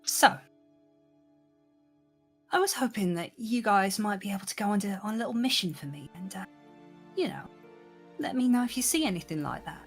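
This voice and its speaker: A streetwise woman , played by a female gamer, coaxes in chummy, secretive slang. Her voice sits a little higher and stays playful and sly, as in a tabletop roleplay. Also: expressive, normal speed, pauses often, soft background music, close to an everyday voice. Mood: coaxing. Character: cheeky conspiratorial streetwise woman coaxing street kids.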